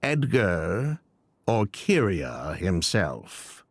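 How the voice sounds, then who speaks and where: Slick shady merchant, video game character, hugely expressive pitch, glib, theatrical, dry studio sound. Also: male voice, extremely slow, no echo, exaggerated voice. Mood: evasive. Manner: glib, dramatic emphasis. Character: slick, theatrical ex-convict perfume merchant, self-justifying.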